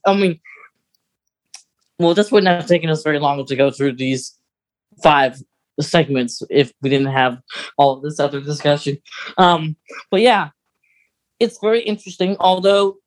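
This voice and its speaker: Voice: medium pitch, measured pace, long pauses, low-quality recording. A podcast co-host talking in a casual, conversational style about things they enjoy as a fan.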